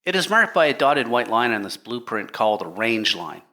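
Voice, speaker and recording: A man performs a careful male engineer giving testimony in an audio courtroom drama. His mid-pitched voice is bright and sharp, with animated inflection. He is deferential and exact in every answer. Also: normal speed. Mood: matter-of-fact. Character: careful, literal-minded male civil engineer on witness stand.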